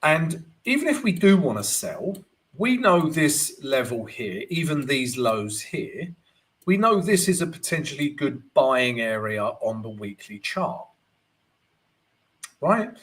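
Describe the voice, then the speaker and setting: A man with a gravelly voice today, hosting a live trading stream and walking viewers through charts. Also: mid-range voice, takes it slowly. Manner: animated, keeps asking "right?", greets chat viewers. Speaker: forex trading livestream host.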